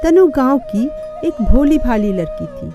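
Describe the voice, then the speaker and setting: A woman's voice: sits high, big pitch swings, unhurried, music in the background. A woman narrating a scripted moral story.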